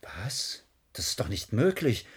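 A commanding project leader in a radio drama. His voice is husky and swings widely in pitch. He sounds stern, indignant and worn thin by pressure. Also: sing-song, unhurried, full character voice. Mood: shocked, troubled. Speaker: actor in a radio play. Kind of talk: German radio drama acting.

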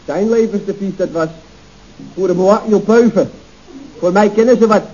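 Man as a dry, needling nephew, mellow-voiced. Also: sits high, normal speed, lightly acted. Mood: contradicting. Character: dry, needling nephew who contradicts his uncle. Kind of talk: 1970s Dutch radio comedy acting. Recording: muffled, hissy old radio recording.

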